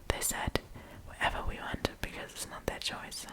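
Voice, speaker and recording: Whispering woman, ASMR storyteller. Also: moderate pace. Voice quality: soft, breathy whisper throughout. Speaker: host of an ASMR storytime channel. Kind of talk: ASMR, whispered reading of online stories.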